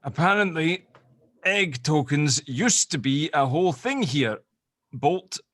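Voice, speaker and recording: A man playing a protective middle-aged dad in an audio drama table read, chatty, hesitant and gently awkward. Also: a little high, lively intonation, slow, no room sound, clean studio sound, heavily acted. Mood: rambling, wistful.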